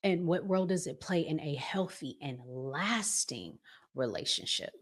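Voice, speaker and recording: A woman hosting a commentary vlog, talking conversationally in deliberate phrases broken into short pieces. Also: deep voice, very animated. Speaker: host.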